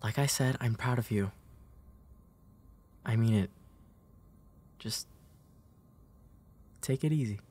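A young man playing a gentle, protective, playful big brother in a comfort roleplay, speaking in a hesitant, soothing way. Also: medium pitch, breathy edge. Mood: soothing, reassuring. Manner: hesitant, soothing, halting delivery. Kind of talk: intimate comfort roleplay audio.

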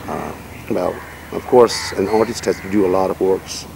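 A middle-aged man whose first language is Nepali, answering interview questions about his writing in a measured, reflective way with many "you know" fillers, seated outdoors in a garden. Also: deep voice, creaky. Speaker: poet and writer, interview guest. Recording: outdoor recording with noticeable background noise.

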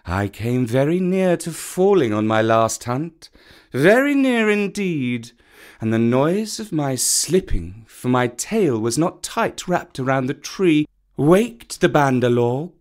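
Storybook role: a man voicing a proud old python who hisses, slow and courteous, with a swooping, lively pitch.

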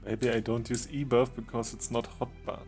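A man casually chatting with a friend while they play a game together, relaxed and joking, laughing now and then. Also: mid-range voice, normal speed, gravelly, soft background music. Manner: relaxed, joking, chuckles often. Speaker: game streamer. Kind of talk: casual gaming chat with a friend.

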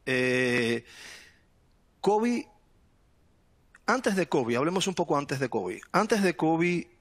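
A man's voice: a little high, takes it slowly, no room sound. Middle-aged man presenting over a headset. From Cuba. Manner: relaxed, repeats words, tags "¿no?". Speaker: guest speaker, sales manager. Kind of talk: webinar presentation. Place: home room indoors. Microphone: headset on video call.

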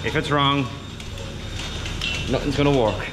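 A man's voice: mid-range voice, steady tone, unhurried. A man in his twenties with an Irish accent, chatting casually as a dairy farmer filming a vlog while he works. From Ireland. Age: 20s. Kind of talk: casual farm vlog chat while working. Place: large metal-roofed milking parlour. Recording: echoey milking parlour, loud machinery noise.

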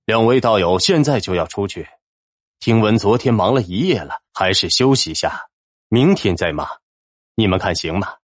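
A man's voice: lowish voice, animated, moderate pace, dry recording, studio quality. A man voicing a deferential, hospitable Taoist temple deacon in an audiobook, polite and solicitous. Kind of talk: audiobook character acting.

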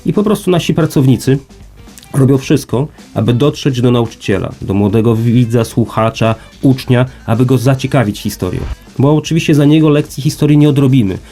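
A man's voice: mid-range voice, a little fast, warm tone, soft background music. A man speaking as an institute representative in an interview, explaining formally and emphatically with rhetorical repetition and lists.

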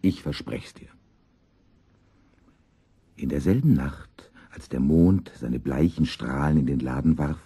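A man narrating a scripted children's story as an expressive storyteller. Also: very deep voice, normal speed, hardly any pauses, husky.

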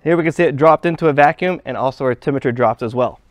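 A man's voice: mid-range voice, very fast, background noise. A man teaching a hands-on trade lesson, explaining step by step as he works with parts at a workshop bench. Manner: plain, step-by-step explaining while handling parts. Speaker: HVAC instructor. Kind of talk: hands-on technical demonstration.

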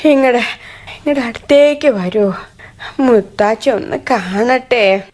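A woman playing a frail, bedridden old grandmother in a radio drama, speaking feebly and haltingly with affection. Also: on the high side, darkish tone. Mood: tender.

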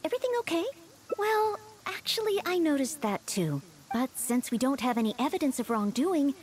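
A woman voicing a polite, earnest young maid in a video game, formal and gentle in manner. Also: high voice. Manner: formal, courteous, gentle, dutiful. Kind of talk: scripted video game voice acting.

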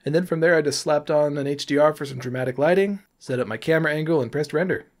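A man's voice: a little high, quick pace. A man giving informal, explanatory tutorial narration in an easygoing, loose way. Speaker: tutorial presenter, animator.

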